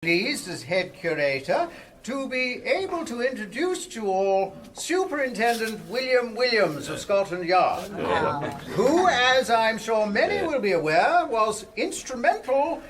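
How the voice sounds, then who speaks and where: An actor playing a formal, pompous museum curator giving a speech in a period detective drama. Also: male voice, very high voice, very animated, unhurried, crowd noise, heavily acted.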